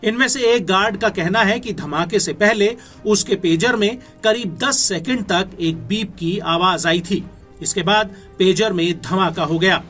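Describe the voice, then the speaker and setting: A man's voice: high-pitched, a little slow, a bit bright. A man with an Indian accent reading scripted news narration in an emphatic, formal newsreader delivery. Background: background music.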